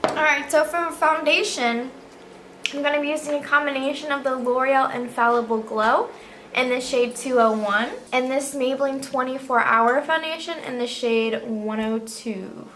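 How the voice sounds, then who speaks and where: A woman's voice: high voice, fairly even intonation, normal speed, a bit bright. A young woman walking through her everyday makeup routine in a loose, chatty way, her voice still sleepy from just waking up. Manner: chatty, loose, heavy use of "like". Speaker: beauty vlogger. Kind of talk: casual makeup tutorial.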